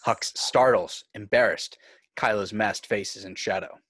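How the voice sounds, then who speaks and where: A man's voice: fairly low pitch, unhurried, fairly bright tone, dry recording. Dry-sounding male script narrator. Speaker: narrator reading stage directions. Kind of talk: scripted narration at a table read. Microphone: video call.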